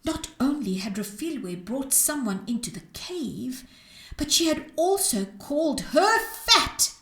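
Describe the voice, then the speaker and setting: A woman reads a storybook to children with dramatic, expressive delivery. Her voice is fairly high and swings widely in pitch. She takes her time with each phrase. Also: slow pace. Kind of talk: animated storybook reading for children. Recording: roomy, echoing sound.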